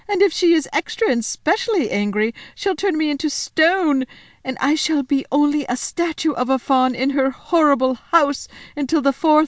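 A storybook faun, male and timid, acted out by a woman reader. He frets and pleads with tearful remorse, his voice lifted high and dramatic, recorded very dry and close. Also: no echo, close to an everyday voice. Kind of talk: children's storybook read aloud.

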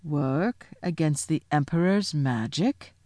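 A woman voicing a majestic male lion king in a fantasy story, grave and measured, with a low growl. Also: a little mellow, clear, resonant tone, no echo. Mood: stern command. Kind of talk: audiobook fantasy character voice.

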